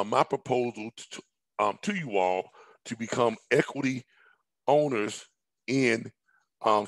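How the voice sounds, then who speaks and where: A hoarse middle-aged man giving a rambling business pitch over a headset on a video call from home, with frequent ums, repeated words and coughs. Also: no echo, poor audio. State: hoarse, recovering from illness. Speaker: business presenter. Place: indoors at home, large room with staircase.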